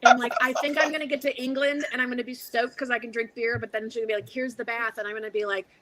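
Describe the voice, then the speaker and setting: A woman's voice: fairly high pitch, little pitch movement, fast, background noise. A middle-aged woman bantering casually as a dating game contestant, playful and full of exclamations.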